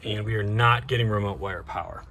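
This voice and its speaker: A man's voice: on the low side, fairly quick, bright, forceful tone, slight background noise. A man giving a casual, informal hands-on narration to viewers, thinking aloud as he works on a vehicle. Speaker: DIY car vlogger and host. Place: in and around a van.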